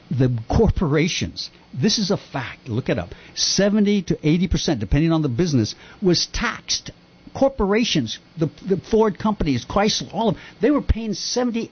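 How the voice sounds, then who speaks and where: An older man who was born in Japan, talking earnestly and at length with rambling, impassioned opinions on a radio-style talk show. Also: slightly rough. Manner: earnest, rambling, lively pitch swings. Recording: dull lo-fi sound.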